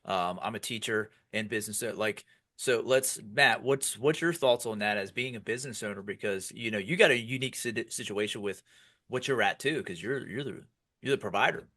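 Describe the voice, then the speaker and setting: A man's voice: on the low side, a little fast, bright, forceful tone. A man in his forties with an American accent, hosting a casual podcast in a blunt, informal way and directing the group. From York, Pennsylvania, United States. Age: 40s. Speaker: podcast host.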